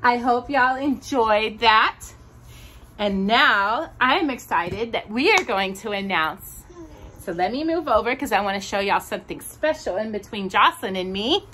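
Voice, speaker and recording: A woman hosts storytime for young children. Her voice is fairly high and swoops widely, and she takes her time with an expressive, sing-song delivery. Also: unhurried. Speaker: host of a children's storytime show. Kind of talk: children's storybook read-aloud and chat to young viewers.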